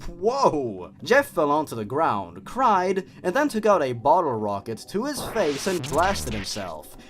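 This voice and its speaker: A man's voice: on the high side, huge pitch swings, a little slow. A man hosting a dramatic reading of a horror story, dropping in sarcastic, exasperated comments of his own. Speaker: host. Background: music.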